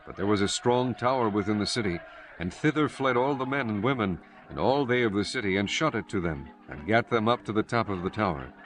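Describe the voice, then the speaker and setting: A man narrating a dramatized Bible audiobook in measured, solemn storytelling with archaic diction. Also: lowish voice, lively intonation. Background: prominent music.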